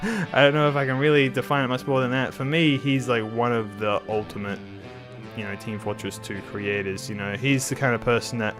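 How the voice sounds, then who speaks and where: A man's voice: mid-range voice, steady tone, a little fast, over music. A man hosting his art channel in casual talk over a speed drawing, a bit nasal and under the weather, rambling with many restarts. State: slightly sick.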